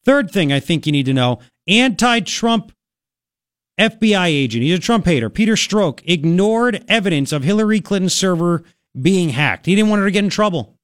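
A man's voice: sits high, expressive, normal speed, no echo, studio quality. A man with a Long Island, New York accent hosting opinionated political talk radio, emphatic and colloquial, repeating phrases for effect.

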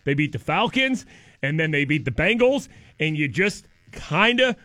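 Man, radio morning co-host, hoarse sore throat, high voice, bright and forceful, sarcastic banter. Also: normal speed, no echo. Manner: excitable, sarcastic, joking.

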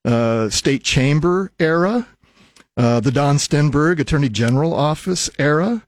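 An older American man hosting a radio talk show, speaking casually in a halting, reflective, rambling way on a close studio microphone. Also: a little high, expressive, no room sound. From Nebraska, United States.

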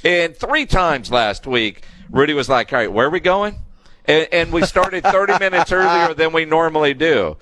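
A man's voice: a little high, lively intonation, normal speed, fairly bright tone, dry recording. A man hosting a sports radio show, chatting casually and telling teasing anecdotes. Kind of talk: casual chat.

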